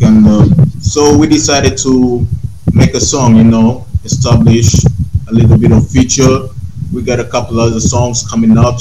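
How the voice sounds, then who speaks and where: A Tanzanian-born man whose first language is Swahili, talking casually on a video call in an unhurried way with many fillers. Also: mid-range voice. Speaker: guest musician. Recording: loud noisy video call line.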